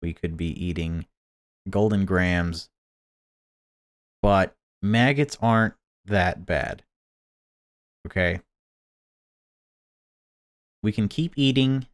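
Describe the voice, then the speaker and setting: Deep-voiced man rambling through a game. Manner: rambling, joking, swears casually. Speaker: gaming video host. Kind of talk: let's play commentary.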